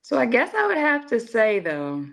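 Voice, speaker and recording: A woman's voice: on the high side, huge pitch swings, moderate pace, a bit bright. A woman chatting expressively as a guest in a casual interview. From southern United States. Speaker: interview guest, broadcast journalist and actress.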